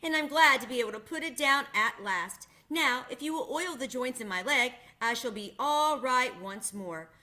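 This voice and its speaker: A mournful tin man in a storybook speaks in a high, thin voice, gentle and courteous. A woman acts him out with a bright, ringing tone. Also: animated, moderate pace, hardly any pauses. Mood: polite. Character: polite sad man made of tin.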